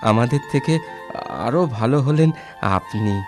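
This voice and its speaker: A man's voice: medium pitch, lively intonation, measured pace, music in the background, lightly acted. A man playing a poor, grateful but bold wanderer in a children's cartoon fairy tale, speaking earnestly and expressively.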